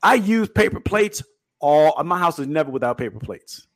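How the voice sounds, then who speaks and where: A man's voice: on the high side, lively intonation, normal speed, dry recording. A middle-aged man co-hosting a talk show, speaking emphatically in a casual preaching style and often repeating words. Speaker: podcast co-host.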